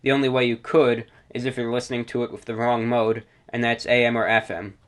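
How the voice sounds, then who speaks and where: Man, show host, mid-pitched voice, explanatory presentation, slightly formal wording. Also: flat delivery, a little fast. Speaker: host of a shortwave radio show.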